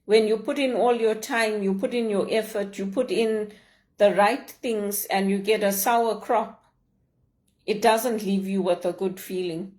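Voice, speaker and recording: A middle-aged woman speaks in a measured, heartfelt way, reflecting on her own experience. Her voice sits at an ordinary mid pitch. She talks things through steadily and earnestly, as in a personal vlog. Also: steady tone, darkish tone. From Zimbabwe. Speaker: leadership vlogger.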